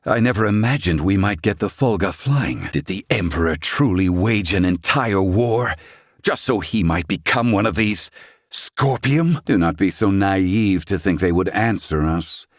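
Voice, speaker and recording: A man's voice: low voice, lively intonation, measured pace, no echo, clean studio sound. An actor playing a proud, sardonic old male scholar and healer in a video game, speaking in formal, archaic phrasing. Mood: sardonic, indignant. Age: older. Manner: haughty, formal archaic diction.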